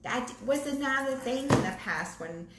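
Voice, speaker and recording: A woman's voice: a little high, animated. A talkative woman co-hosting a ministry chat about marriage. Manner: chatty, quick, self-described chatterbox. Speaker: ministry co-host. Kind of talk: casual marriage talk. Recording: echoey room, faint background noise.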